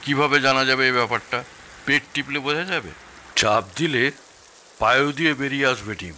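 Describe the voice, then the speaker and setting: A man's voice: medium pitch, normal speed, bright, forceful tone, slight background noise. A man acting an opinionated, nostalgic middle-aged father-in-law who loves his food, in a theatrical audio-drama style. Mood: explanatory. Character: grumbling, nostalgic elder Bengali father-in-law, fond of food.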